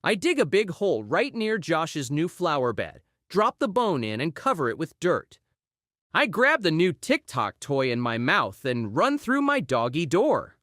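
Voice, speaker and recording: A woman reads a children's story aloud with a lively, swooping delivery. She takes her time over the lines and performs them expressively. The sound is close and dry. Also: low voice, measured pace, no echo. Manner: expressive, acting out exclamations and sound words. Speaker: audiobook reader.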